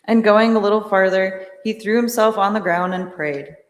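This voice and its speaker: A woman serves as narrator of a scripture drama. Her voice sits at a middle pitch. It carries long reverb from a large, echoey church. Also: normal speed. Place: large church, live reading.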